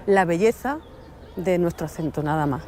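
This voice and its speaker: A woman's voice: lowish voice, lively intonation, moderate pace, darkish tone. Andalusian woman, actress, firm and proud, faint outdoor noise. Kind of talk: interview talk.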